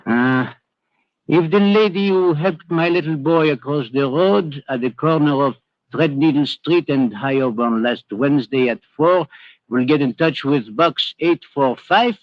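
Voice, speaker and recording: An actor playing a genial, sceptical French gentleman in a 1940s radio drama, speaking formally and theatrically. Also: on the high side, a little slow, lightly acted. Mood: amused, sceptical. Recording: dull, echoey old radio broadcast.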